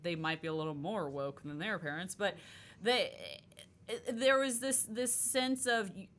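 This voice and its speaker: A woman's voice: medium pitch, unhurried, slight background noise. A woman in her thirties, a nutritionist guest in a casual chat, speaking insistently and animatedly. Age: 30s. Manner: animated, insistent, talks over others.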